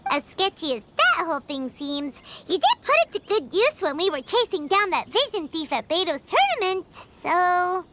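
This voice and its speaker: Tiny childlike fairy sidekick, squeaky, very high, lively swooping pitch, excitable, video game dialogue, loud background noise. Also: female voice, moderate pace, hardly any pauses. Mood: puzzled, skeptical. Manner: animated, exclaiming.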